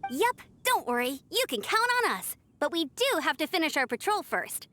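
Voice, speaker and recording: A woman's voice: high-pitched, big pitch swings, normal speed, a bit bright, faint music behind. A woman voicing a friendly, eager young female knight in a video game, with a breezy, enthusiastic manner. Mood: cheerful.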